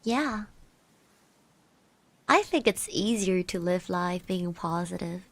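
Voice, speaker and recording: A woman speaking softly in an ASMR roleplay, gently reassuring the listener, musing with pauses and little hums, close to the microphone. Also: on the low side, expressive, no echo. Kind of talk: soft-spoken ASMR roleplay, personal encouragement. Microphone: close microphone, dry.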